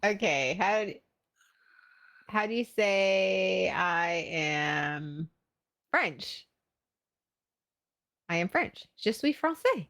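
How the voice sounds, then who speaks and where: Giggly woman, unhurried and expressive. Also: mid-range voice, no echo, clean studio sound. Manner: giggly, laughs often. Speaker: podcast and livestream co-host. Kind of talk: casual unscripted banter.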